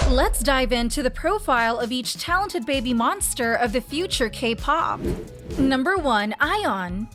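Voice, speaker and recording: A woman giving a steady, informative scripted narration for an explainer video. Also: high-pitched.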